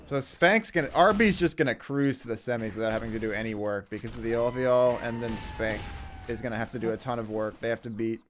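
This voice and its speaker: A man doing casual esports commentary, laid-back, laughing often and swearing casually. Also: mid-range voice.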